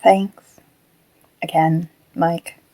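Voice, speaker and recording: A woman as a mellow, troubled animatronic spirit. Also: lowish voice, little pitch movement, full character voice. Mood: subdued, unsure. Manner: hesitant, halting, reflective. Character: haunted animatronic fox, gentle, troubled, once human. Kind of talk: audio drama acting.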